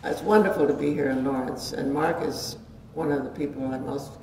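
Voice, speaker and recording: An older woman giving an informal public talk, chatty and animated, her voice a bit hoarse. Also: rumbling low, brisk. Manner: chatty, animated, gestures a lot. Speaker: guest lecturer. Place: community meeting room. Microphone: stand mic at a podium. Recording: roomy hall sound, distant mic, audible hiss.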